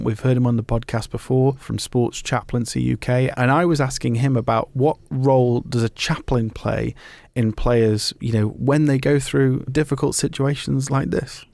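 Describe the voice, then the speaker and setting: A man's voice: medium pitch, creaky, darkish tone, no echo. A man presenting a podcast in an informal, discursive and thoughtful conversational way. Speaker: podcast host.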